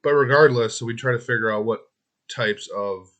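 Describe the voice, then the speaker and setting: Man, hoarse, mid-pitched, web consultant narrating his screen in a loose, chatty way, thinking out loud with frequent ums. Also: normal speed. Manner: talks to the client directly, loose and chatty, thinks aloud with many ums and restarts. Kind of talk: casual screen-recorded walkthrough.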